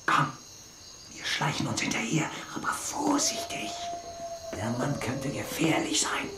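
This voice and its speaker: An actor playing a cautious, shrewd Western sheriff in a children's audio drama. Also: male voice, fairly high pitch, wildly swooping pitch, measured pace, roomy sound, very noisy. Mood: hushed, wary.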